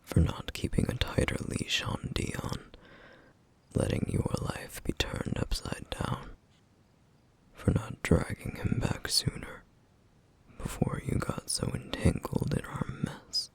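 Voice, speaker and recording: A man playing a somber, duty-bound, protective flame demon in an ASMR roleplay, speaking in a hushed, halting, formal way with a rough, breathy voice. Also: close to an everyday voice. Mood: remorseful. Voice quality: breathy, husky, rough whisper. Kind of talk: ASMR roleplay, intimate scripted monologue.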